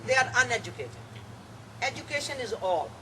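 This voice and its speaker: A woman from Pakistan with a Pakistani accent, performing a satirical monologue in a deliberate, dramatic way. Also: a little high, sing-song. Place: live venue, cafe. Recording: distant mic, clear background noise.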